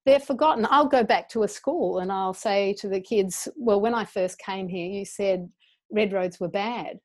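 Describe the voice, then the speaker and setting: A woman's voice: medium pitch, normal speed, darkish tone. A woman educator explaining her ideas as an interview guest in an earnest, passionate way.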